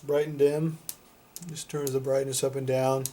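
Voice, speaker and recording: A man's voice: mid-range voice, flat delivery, a little slow, warm tone. A man giving a casual product demonstration as a reviewer, plain-spoken and matter-of-fact. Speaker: product reviewer for a CB radio shop.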